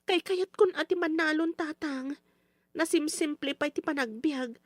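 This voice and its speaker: A woman's voice: high-pitched, unhurried, dry recording. A woman playing a principled, defiant young daughter in a radio drama, with an Ilocano accent and an insistent, pleading manner.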